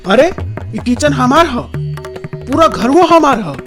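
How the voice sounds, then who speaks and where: A woman voicing a meddling, bossy middle-aged mother-in-law in an animated family comedy, with a theatrical, drawn-out delivery. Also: high voice. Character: meddling, scheming mother-in-law who relishes spoiling couple's privacy. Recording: music bed under the voice.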